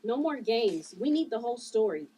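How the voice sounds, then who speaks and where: A woman's voice: a little high, very animated, moderate pace, mellow, slight background noise. A woman playing a concerned, resolute young heroine in a table read of a drama script. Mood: firm. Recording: distant from the microphone.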